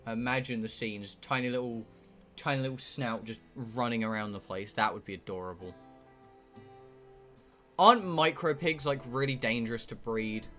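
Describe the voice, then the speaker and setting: A man's voice: medium pitch, moderate pace, pushed and bright, faint music behind. A British man live streaming a game, rambling casually to his viewers in a blunt, sweary, joking way. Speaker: live streamer playing a visual novel.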